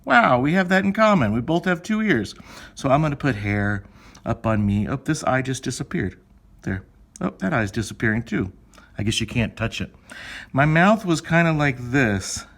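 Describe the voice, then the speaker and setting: A man's voice: medium pitch, lively intonation, moderate pace, a bit bright. Male elementary teacher, mid-range voice, informal step-by-step delivery aimed at young children, with conversational questions. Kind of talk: step-by-step lesson for young children, thinking aloud.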